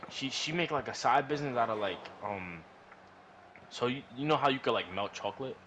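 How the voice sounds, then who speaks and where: A man tells a story among friends in loose, slangy, swear-heavy talk. His voice sits at an average pitch, and he circles back and repeats words as he goes. There is some noise in the recording. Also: a little fast. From New York. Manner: rambling, repetitive, slangy, animated retelling. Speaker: podcast storyteller. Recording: noisy room recording.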